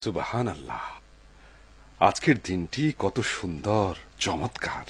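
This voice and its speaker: A man's voice: deep voice, sing-song, slow pace, full character voice. A man voicing a humble, devout woodcutter in a cartoon, kind and cautious, musing aloud to himself expressively. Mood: contented. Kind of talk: dubbed cartoon voice acting. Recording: clear background noise behind the voice.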